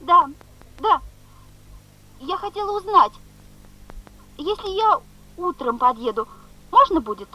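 A woman's voice: very high-pitched, big pitch swings, takes it slowly, pauses often, lo-fi sound, a little hiss or hum, heavily acted. An actress playing an evasive, flustered mother in a 1970s radio play, speaking hesitantly and stumbling. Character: evasive, flustered mother phoning about her daughter. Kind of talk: 1970s Soviet radio drama acting.

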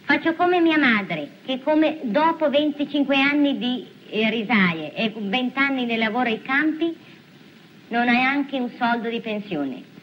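A woman's voice: sits high, animated, a little slow, muffled recording, slight background noise. A working woman giving a plain personal account in an archive interview recording.

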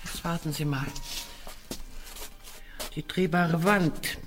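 A woman's voice: low voice, fairly even intonation, slow pace. A woman playing a refined, composed yet eager widowed Madame on an Egyptian expedition in a German radio play, with a courteous, theatrical delivery. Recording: radio drama with faint music.

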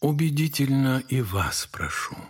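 An actor playing a frail, kindly, serene monastic elder in an audio drama, speaking gently and calmly. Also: male voice, medium pitch, breathy edge. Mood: gently admonishing. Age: older. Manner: gentle, calming, measured.

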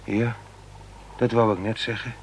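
A man's voice: on the low side, little pitch movement, measured pace, slight background noise, poor audio. An actor playing a polite, hesitant young male visitor in a radio play, agreeable and mildly amazed. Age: young adult. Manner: hesitant, agreeable, brief replies. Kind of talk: Dutch radio play dialogue.

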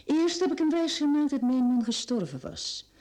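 A woman's voice: high-pitched, very animated, normal speed, dark tone. An actress playing a faded, proud, plain-spoken woman in a radio play. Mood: resigned. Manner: resigned, frank.

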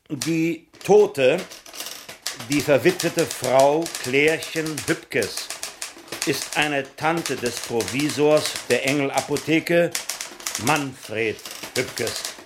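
Slow-speaking, precise newspaper editor. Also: male voice, mid-range voice. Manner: measured, precise, polite. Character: composed, self-important small-town newspaper editor. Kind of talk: 1960s German radio play acting. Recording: noisy 1960s radio play recording.

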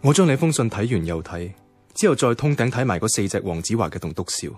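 A man acting as an emotional, introspective young man narrating in a radio drama, with a husky voice and Hong Kong Cantonese accent. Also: fairly low pitch, sing-song, fast talker, faint music behind. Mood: moved.

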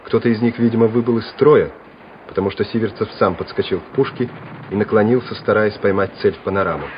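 A man's voice: on the low side, fairly even intonation, quick pace, noisy background. A man reading scripted dramatic narration for a war radio drama. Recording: dull vintage radio recording with music.

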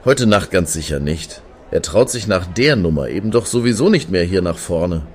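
Casting: gruff club-goer, low voice, very lively intonation, dry and dismissive, radio drama. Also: male voice, moderate pace, slight background noise. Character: gruff, unimpressed male Berlin night owl. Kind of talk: radio play acting.

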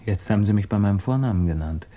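A man's voice: fairly low pitch, lively intonation, quick pace, dark tone, a little hiss or hum, natural voice. An actor playing a troubled, defensive accused man, intense and formal, in a 1950s radio drama. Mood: wary, unsettled.

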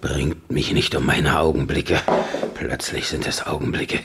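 An actor playing a dying old Prussian king in a German radio play, stubborn and bitter, speaking haltingly in a gravelly, husky, labored voice. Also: very deep voice, fairly even intonation, a bit bright, slight background noise, heavily acted. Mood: despairing. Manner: halting, commanding.

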